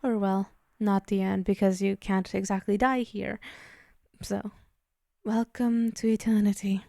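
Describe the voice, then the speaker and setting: A woman voicing a possessive demon mistress for an ASMR roleplay, dark and mellow in tone. She speaks slowly and haughtily, teasing with soft menace, in a very dry, intimate recording. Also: mid-range voice, flat delivery, creaky, no room sound. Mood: amused, imperious. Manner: slow, teasing, condescending, murmuring into whispers.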